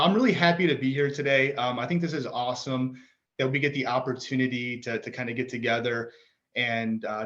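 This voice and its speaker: A man's voice: mid-range voice, fairly bright tone, lo-fi sound. Plain-spoken man presenting on a video call. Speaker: chiropractor presenting. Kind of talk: informal presentation to parents. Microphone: computer mic on a video call.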